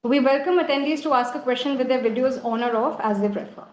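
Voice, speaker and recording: A woman's voice: high-pitched, fast, darkish tone. A woman moderating a corporate call, reading a formal scripted announcement over a video call. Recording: roomy video call, clear noise.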